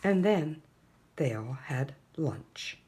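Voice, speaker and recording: A woman reading a picture book aloud as an expressive children's story-time narrator, deliberately drawing out key words. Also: deep voice, breathy edge.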